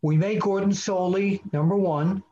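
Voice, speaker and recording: A man with a slightly high voice tells stories on a podcast and laughs often. His audio sounds slightly dull and muffled. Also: fairly even intonation, normal speed, dark tone, slight background noise. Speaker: co-host, former magazine editor. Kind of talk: casual podcast chat.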